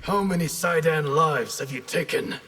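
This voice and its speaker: Vengeful guardian warrior for a fighting game, husky rasp, stern contempt. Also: male voice, fairly high pitch, normal speed, pushed and bright. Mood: stern, threatening. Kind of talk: video game fight banter.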